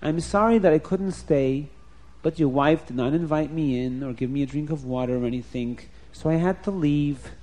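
A man voicing a gentle old father who speaks plainly. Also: on the high side, normal speed, natural voice.

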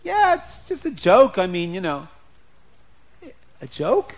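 A man's voice: high-pitched, huge pitch swings, takes it slowly, exaggerated voice. A man voicing a smug joking businessman who blusters, stammers and wheedles, in an oral story. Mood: flustered.

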